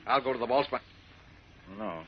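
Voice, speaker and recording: An actor playing a brisk, impatient, blunt newspaper editor in an old radio drama. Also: male voice, medium pitch, expressive, natural voice. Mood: concerned. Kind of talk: 1940s radio acting. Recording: muffled, hissy old radio recording.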